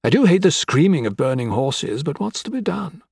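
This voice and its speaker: A man voicing an older gentleman villain in an audiobook, with florid, mock-courteous, taunting speech. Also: fairly high pitch, sing-song, normal speed, vocal fry, slightly rough, no echo, studio quality, voice pushed up, exaggerated voice. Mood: mocking menace. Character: menacing, theatrical old gentleman crime boss, mocking and sentimental. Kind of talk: dramatic audiobook character acting.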